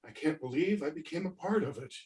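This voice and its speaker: A man's voice: on the high side, expressive, fairly quick, lightly acted. A man playing a melodramatic, two-faced office turncoat in a comedy play read over a video call, with dramatic delivery. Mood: frantic, dramatic. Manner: dramatic, swooping from shouts to murmurs. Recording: distant mic on video call.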